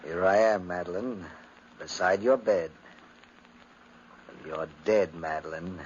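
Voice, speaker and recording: Sinister, unstable gothic brother: low, volatile, theatrical; faintly hissy vintage radio recording. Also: male voice, slow, highs cut off. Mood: gloating, sinister. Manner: melodramatic, swinging from curt to frantic. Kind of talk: 1950s radio drama acting.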